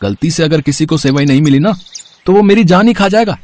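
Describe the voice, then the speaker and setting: A man voicing an earnest, chatty younger village shopkeeper in a story, animated and respectful. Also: a little high, a little fast, natural voice. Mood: eager. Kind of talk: storybook character acting.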